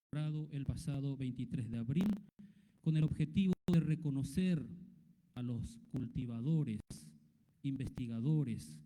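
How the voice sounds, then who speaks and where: Dark-voiced man announcing in a hall. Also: a little high. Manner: brisk formal announcing. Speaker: master of ceremonies. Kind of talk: formal event announcing. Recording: echoey hall.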